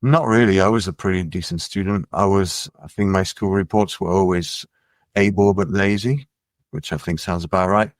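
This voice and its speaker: A middle-aged man from France, a musician guest in a casual interview, talking in a relaxed, musing way with many fillers. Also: sits low, normal speed, no room sound, clean studio sound. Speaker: musician and label head, guest.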